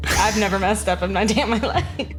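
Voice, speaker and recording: A woman's voice: medium pitch, moderate pace, faint music behind. A woman improvising casually in character as a player in a tabletop roleplaying game.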